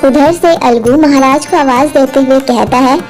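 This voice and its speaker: A woman's voice: high-pitched, moderate pace, a little mellow. A woman narrating a scripted devotional moral story as its storyteller. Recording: music behind the voice.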